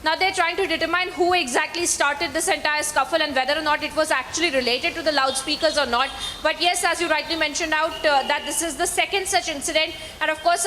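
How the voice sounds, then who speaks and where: A woman's voice: sits high, bright, forceful tone. A woman news reporter with an Indian accent giving a live report in long, run-on explanatory sentences. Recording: reverberant live link, background noise.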